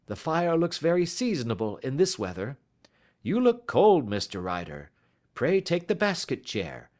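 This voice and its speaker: A man voicing a brisk, masterful gentleman detective in an audiobook, speaking with formal precision and dry mockery. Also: fairly high pitch, huge pitch swings, pitched above the performer's own voice. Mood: cheery. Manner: commanding, precise, dryly mocking, formal. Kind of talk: audiobook character voice.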